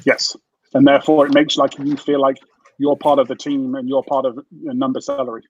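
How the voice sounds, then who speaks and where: A British man from England improvising a mock startup pitch on a video call, hesitant and full of fillers. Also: little pitch movement, brisk, breathy edge. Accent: British English. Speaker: party game participant. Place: home room, video call. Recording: dull dry video call audio.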